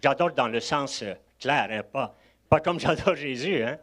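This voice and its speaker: A man's voice: medium pitch, lively intonation, a little slow. An older man from Egypt, a guest preacher giving a sermon, telling anecdotes with chuckling asides. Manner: warm storytelling with asides and chuckles.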